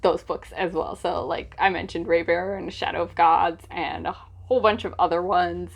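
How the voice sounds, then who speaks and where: A woman's voice: fairly low pitch, steady tone, normal speed, gravelly. A young woman chatting casually in a book vlog, with a gushing, giggly, self-deprecating manner. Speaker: book reviewer vlogger.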